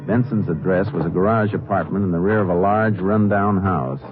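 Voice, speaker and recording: A calm, terse lawman acted by a man in a vintage radio play. His voice sits very low and he states things matter-of-factly. The sound is narrow, like an old broadcast. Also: normal speed, over music, natural voice. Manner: terse, matter-of-fact questioning and narrating. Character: calm Texas Ranger investigator narrating his case. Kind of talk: 1950s radio drama acting.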